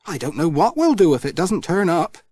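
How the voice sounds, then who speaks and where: A man's voice: on the high side, very animated, brisk, a little mellow, no echo, pitched above the performer's own voice. A man voicing a homely, concerned farm mother in a children's audiobook, chatty and fretting. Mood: worried.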